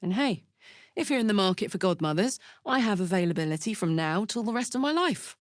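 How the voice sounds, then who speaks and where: A woman voicing a brash, warm-hearted, battle-hardened fantasy warrior in a video game, with a blunt, earthy, emotive delivery. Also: mid-range voice, lively intonation, a little fast, no echo, studio quality, natural voice. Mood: friendly. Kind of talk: video game character voice acting.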